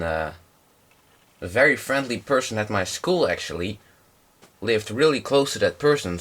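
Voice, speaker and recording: A young man presents in English with a fairly low voice. He has a slight cold and talks informally, feeling his way through explanations like a hobbyist showing off equipment. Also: a bit bright. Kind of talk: casual product demonstration.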